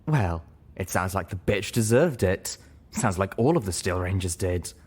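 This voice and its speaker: A man's voice: deep voice, animated, a little mellow, breathy edge. A man voicing a boozy, caring female pony with slurred speech in an audiobook story. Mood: consoling. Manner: slow, slurred.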